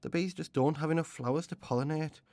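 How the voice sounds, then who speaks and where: A man's voice: on the high side, fast talker, a little mellow, no echo, lightly acted. A man acting in a radio drama as an opinionated, impatient season spirit, complaining in a blunt way. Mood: aggrieved. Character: opinionated, impatient male season spirit who defends bees.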